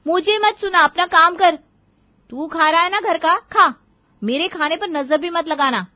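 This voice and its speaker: A woman's voice: extremely high pitch, unhurried, dry recording, lo-fi sound, voice pushed up. A woman voicing a spoiled, sulky teenage daughter in a cartoon moral story, whining and snapping petulantly. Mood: angry.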